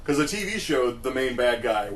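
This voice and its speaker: A man's voice: medium pitch, animated, moderate pace. Male podcast co-host, conversational explainer, middle register, some background noise. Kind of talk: casual opinionated film discussion.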